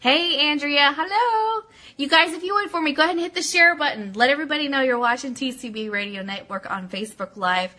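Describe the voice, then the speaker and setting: A woman's voice: high voice, brisk, bright, forceful tone. A woman hosting a live online radio show, chatty and casual as she speaks directly to her audience. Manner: chatty, addresses viewers directly by name. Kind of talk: casual livestream chat, greeting viewers and reading an article aloud.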